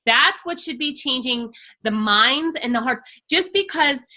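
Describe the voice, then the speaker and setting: A woman's voice: high-pitched, lively intonation, a little slow, sharp tone. A middle-aged woman, an education coach, speaking with emphatic passion in a casual conversational workshop. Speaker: IEP coach and workshop host.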